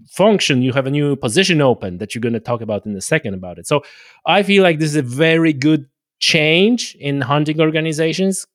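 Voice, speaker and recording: A man's voice: on the high side, no echo. Rambling male podcast host, dry close sound. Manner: rambling, thinks aloud with many fillers. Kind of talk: casual interview chat.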